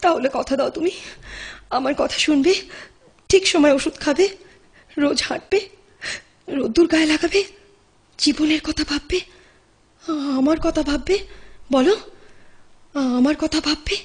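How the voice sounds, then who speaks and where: An actress as high-pitched, devoted, anguished woman. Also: measured pace, long pauses. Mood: tender coaxing. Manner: pleading, emphatic, theatrical delivery. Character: devoted, anguished, insistent woman nursing her addicted lover. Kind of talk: audio drama acting.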